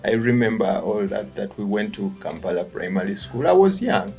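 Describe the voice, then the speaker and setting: A middle-aged Ugandan man with a Ugandan accent, answering interview questions in a halting way, often restarting words. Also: lowish voice, creaky, faint music behind. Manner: halting, restarts words, quiet then rising when animated. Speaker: guest, event company founder.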